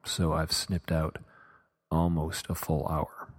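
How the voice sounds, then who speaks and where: A man with a heavy cold that makes his voice much deeper than usual, explaining things in a measured, plain way. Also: monotone, breathy edge, big-room sound. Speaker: podcaster introducing edited audio.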